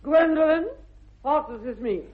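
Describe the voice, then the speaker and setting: A woman's voice: sits high, lively intonation, measured pace. An actress playing a formidable older upper-class English mother in a 1960s radio comedy, haughty and declamatory, with precise articulation. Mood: imperious disapproval. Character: imperious, formidable aristocratic English mother and aunt. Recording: tinny, band-limited vintage radio recording.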